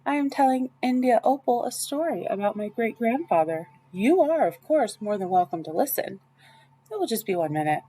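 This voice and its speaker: A woman voicing a genteel, dramatic elderly female librarian from a storybook, with an emphatic, drawn-out, proper way of talking. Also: on the high side, big pitch swings, warm tone, husky, pitched above the performer's own voice. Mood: polite.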